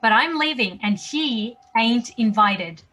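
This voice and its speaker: A woman's voice: on the high side, animated, takes it slowly, pushed and bright, exaggerated voice. A woman acting a headstrong, no-nonsense survivor, firm and curt, in a table read of a screen drama. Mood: firm, defiant. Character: Lisa, headstrong, no-nonsense woman searching for someone missing.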